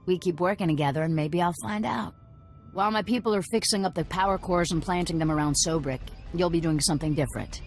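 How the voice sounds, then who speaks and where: An actress playing a shrewd, tough rebel cell leader in a video game, sardonic and businesslike. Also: female voice, lowish voice, fast talker, faint music behind, close to an everyday voice. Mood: measured, wry.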